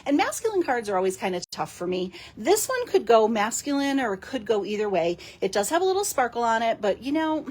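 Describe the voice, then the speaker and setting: A woman with a fairly high, husky, raspy voice, hoarse today. She chats and giggles freely as she walks through a card-making demo, with plenty of rambling asides and fillers. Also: very animated, fairly quick, hardly any pauses, a little mellow. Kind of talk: casual live craft demo.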